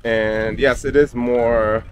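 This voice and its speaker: A man's voice: medium pitch, slow pace. A man from Austria, a German native speaker, talking casually and unhurriedly with a friend, full of fillers and restarts. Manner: relaxed, many fillers and restarts. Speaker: guest, childhood friend. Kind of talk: casual conversation.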